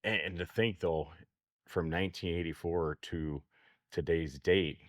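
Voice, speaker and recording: Real low-voiced guy co-hosting farm radio, dry mic, easygoing rambling talk. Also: fairly even intonation, measured pace, no echo. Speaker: co-host, auction company staff.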